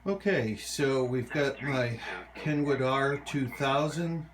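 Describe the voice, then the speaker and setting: Slow-talking man, casual show-and-tell. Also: mid-range voice, crowd noise, rough recording. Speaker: hobbyist presenter of a radio collection.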